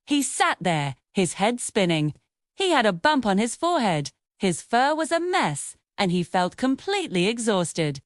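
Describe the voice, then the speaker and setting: A woman's voice: medium pitch, sing-song, measured pace, no room sound, studio quality. A woman reading a scripted children's story as its narrator.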